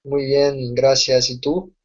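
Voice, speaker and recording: A man's voice: mid-range voice, completely flat delivery, slow. Man student on a dull call line. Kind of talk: answering in a class.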